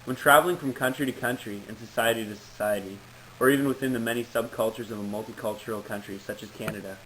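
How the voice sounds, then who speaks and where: Male presenter, average pitch, formal scripted explainer read, amateur audio with noticeable background noise. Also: fairly even intonation, quick pace, fairly bright tone, low-quality recording. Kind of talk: prepared informational presentation, read out.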